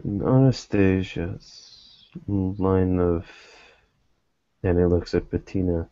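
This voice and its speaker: Deep-voiced, slow-talking man running a roleplay. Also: steady tone, mellow, no room sound, lo-fi sound. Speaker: game master. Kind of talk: tabletop roleplay, narrating and speaking in character.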